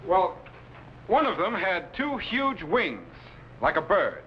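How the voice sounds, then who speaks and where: An actor playing a commanding, scholarly time-machine captain in a crisp, authoritative 1950s science fiction serial style. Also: male voice, sits high, big pitch swings, takes it slowly, a little hiss or hum, heavily acted. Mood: explaining. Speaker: captain and mentor. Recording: dull vintage broadcast with loud music.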